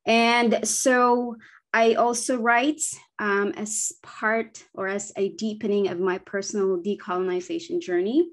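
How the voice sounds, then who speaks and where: A woman's voice: medium pitch, steady tone, a little slow. A woman in her forties from the Philippines, a Bisaya speaker, presenting her children's book press over a video call, earnest and thoughtful with frequent ums and self-corrections. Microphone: laptop webcam on video call.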